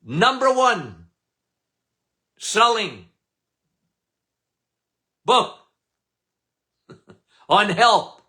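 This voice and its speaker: Loud, lively older man host. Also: high voice, very slow, pauses often. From Canada. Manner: loud, emphatic, repeats "okay?" often. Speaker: podcast host. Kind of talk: casual live talk.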